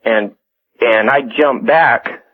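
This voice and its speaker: A young American man phoning a radio show to tell a personal story casually, in a halting, hesitant way over a telephone line. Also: mid-range voice, slightly rough. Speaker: phone-in caller. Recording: tinny phone line on radio.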